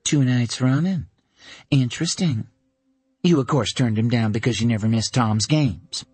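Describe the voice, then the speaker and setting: A man's voice: medium pitch, a little mellow, slightly rough, no room sound, pitched above the performer's own voice, natural voice. A man voicing a wry, teasing woman. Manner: teasing, dry, quick banter. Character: wry teasing adult woman, sharp-witted best friend.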